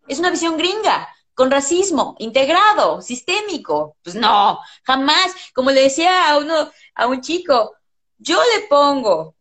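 A woman's voice: high-pitched, a bit bright. A woman, an English teacher talking in a casual interview, blunt and passionate, swearing freely.

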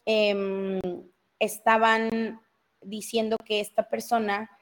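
A woman's voice: on the high side, little pitch movement, takes it slowly. Chatty Mexican woman hosting a talk show. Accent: Mexico City Spanish. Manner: talkative, many fillers and self-interruptions. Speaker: host. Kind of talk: casual live news chat.

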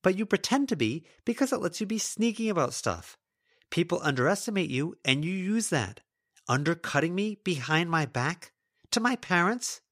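A man voicing an intense, accusing teenage schoolgirl in a forceful, demanding audiobook performance. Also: a little high, big pitch swings, fast talker, voice pushed up. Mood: angry, accusing.

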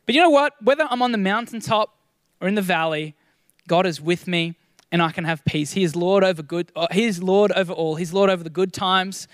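A man with a high voice preaches to his church in an earnest, encouraging way. He often doubles his first words as a thought begins. The recording is dry and close. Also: brisk, no room sound. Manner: earnest, encouraging, repeats words when starting sentences. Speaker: pastor. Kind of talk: sermon.

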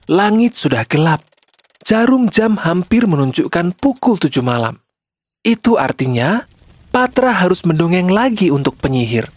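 A woman's voice: on the low side, big pitch swings, normal speed, a little mellow, no room sound. A woman narrator reading a scripted children's story.

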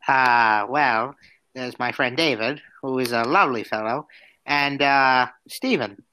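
A man's voice: mid-range voice, measured pace, bright, forceful tone, no echo, rough recording. A man playing a vain, teasing, flamboyant male demon in an improvised audio roleplay, theatrical and flirtatious. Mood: smooth, flirtatious.

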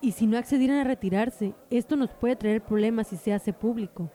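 A woman's voice: on the high side, brisk, dark tone, some chatter behind, close to an everyday voice. A young woman with a Mexican accent playing a principled, respectful congresswoman in an amateur radio drama. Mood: concerned. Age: young adult.

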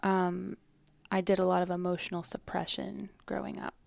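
A woman with a soft voice speaking as a musician guest in a casual interview, hesitant and full of fillers and restarts. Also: fairly low pitch, little pitch movement, normal speed, creaky, no room sound.

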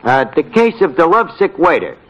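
A man's voice: a little high, big pitch swings, brisk, lo-fi sound. A man playing a flippant, colloquial tavern manager who acts as master of ceremonies in a 1940s radio comedy. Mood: businesslike, breezy.

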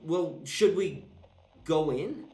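A woman's voice: sits low, slow, warm tone. A woman playing a flippant, wisecracking paranormal investigator in an audio horror drama. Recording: roomy echo with music behind.

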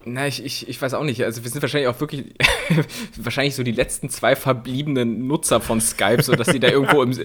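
A young man chatting over a remote call in a casual comedy podcast. He talks in a lively, animated way and builds silly made-up scenarios as he goes. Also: mid-range voice, fairly quick, few pauses, slightly rough. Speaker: podcast co-host. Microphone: remote call recording.

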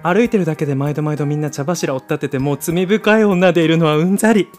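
A man's voice: fairly high pitch, fast talker, darkish tone, faint music behind, natural voice. A man voicing a haughty, self-assured femme fatale in an amateur radio drama, with theatrical feminine phrasing. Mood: proud, weary. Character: haughty glamorous femme fatale, weary of adoring suitors.

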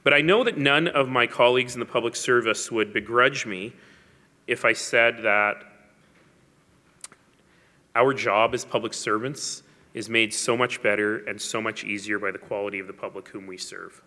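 A man's voice: mid-range voice, normal speed, sharp tone. A man giving a public speech as a mayor, telling stories with wry asides and emphasis. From Canada. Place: large hall. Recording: long hall reverb.

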